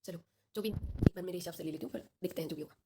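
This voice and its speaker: A woman's voice: fairly low pitch, rapid-fire, background noise. A young woman with a sore throat teaching an online class, chatty and reassuring, checking in with her students often.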